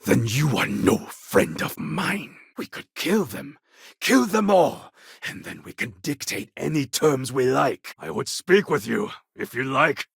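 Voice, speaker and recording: A man's voice: mid-range voice, very animated, unhurried, a bit bright, no echo, studio quality, full character voice. An actor playing a proud, menacing male warlord in a video game, speaking in a grave, formal and threatening way. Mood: stern. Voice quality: gravelly, husky.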